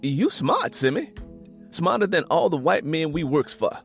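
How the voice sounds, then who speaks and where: A man voicing a puzzled, pestering, slow-witted working man in folksy rural dialect for an audiobook. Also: a little high, sing-song, creaky, faint music behind, voice pushed up, exaggerated voice. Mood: puzzled, insistent. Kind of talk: dramatised audiobook dialogue.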